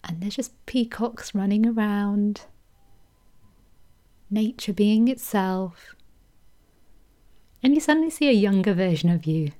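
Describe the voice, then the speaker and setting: Soft-spoken woman guiding a meditation. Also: medium pitch. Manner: slow soothing delivery with soft whispered phrases. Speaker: meditation guide. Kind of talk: guided meditation.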